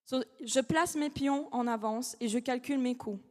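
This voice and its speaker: A young woman delivers a formal eloquence speech in a large academic hall, her fairly high voice carrying with a long reverb. She speaks in measured, dramatic phrases with rhetorical pauses, in French that is not her first language. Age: young adult. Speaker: law student giving a competition speech.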